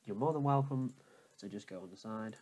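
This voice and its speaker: A man's voice: on the low side, a little fast, a little mellow. Man giving a casual tech walkthrough. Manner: informal, repetitive step-by-step explaining. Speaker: tutorial presenter. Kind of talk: casual screen-recorded how-to walkthrough.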